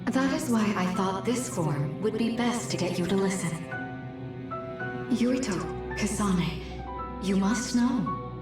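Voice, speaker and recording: An actress voicing a machine posing as a gentle mother in a video game, calm and manipulative, pleading in a solemn, measured way. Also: mid-range voice. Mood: solemn, coaxing. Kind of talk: video game cutscene acting. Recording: very echoey, distant voice, music behind.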